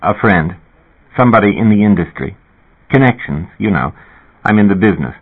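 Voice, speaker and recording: A reserved, stubborn man answers in short, firm phrases, read by a male narrator. The voice is low and quiet. It sounds thin and tinny, like a phone line. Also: expressive, a little fast, slightly rough.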